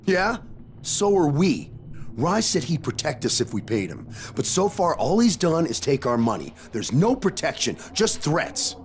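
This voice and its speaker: A man's voice: a little high, big pitch swings, unhurried, slight background noise. A man playing a bitter, defiant settler in a video game, accusing and disgusted. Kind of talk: video game cutscene acting.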